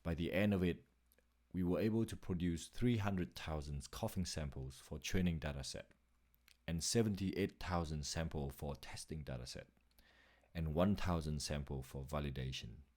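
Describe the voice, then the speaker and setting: A man's voice: extremely low pitch, moderate pace, darkish tone. A man reading a scripted narration for a slide presentation as its presenter.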